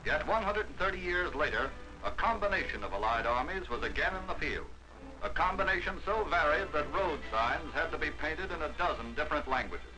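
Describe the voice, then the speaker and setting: A man narrating a 1940s wartime documentary in a measured, declamatory newsreel manner. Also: fairly high pitch, animated, music in the background, low-quality recording.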